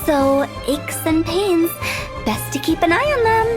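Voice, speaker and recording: A woman's voice: very high-pitched, expressive, unhurried. A woman voicing a mischievous young funeral parlor director who is playful and cheerfully morbid. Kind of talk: video game voice acting. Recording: game music behind.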